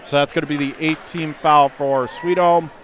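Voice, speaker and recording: A man doing live radio play-by-play of a high school basketball game from the gym, emphatically restating the score and clock. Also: steady tone, moderate pace, a bit bright, some chatter behind. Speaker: play-by-play sports announcer.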